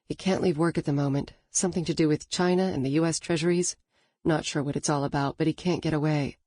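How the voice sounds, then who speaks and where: A woman's voice: sits low, steady tone, quick pace, no room sound, clean studio sound. Woman voicing a grieving young woman. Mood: subdued. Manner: quiet, strained, practical. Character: grieving young woman artist, twenty-eight.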